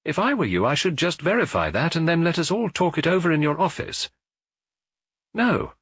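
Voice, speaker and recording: A man voicing a mild, shrewd little priest detective with a gentle, frankly doubtful manner in an audiobook. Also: a little high, sing-song, normal speed, husky, no echo, voice pushed up, exaggerated voice. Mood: thoughtful, sudden.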